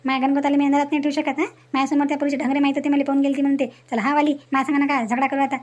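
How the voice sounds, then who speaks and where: A woman's voice: high voice, level tone, very fast, darkish tone, close to an everyday voice. A woman voicing a brash, stubborn, quarrelsome village woman in an amateur cartoon comedy, blunt and chatty, with a Varhadi Marathi accent. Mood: defiant.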